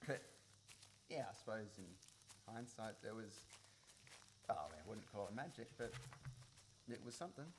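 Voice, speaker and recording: An actor playing an easygoing young man in a radio play, talking casually with halting explanations, recorded live. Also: fairly low pitch, expressive, close to an everyday voice. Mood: wistful, wry. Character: easygoing young man, former farm boy, patient game explainer. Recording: live recording with clear background noise.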